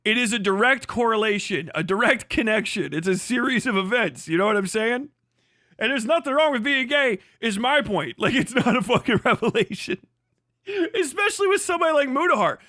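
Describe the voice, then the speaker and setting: A man's voice: very high-pitched, animated, fairly quick, pushed and bright, no echo, clean studio sound. A man hosting a livestream, delivering a profane, mocking commentary rant and laughing often. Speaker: livestream host.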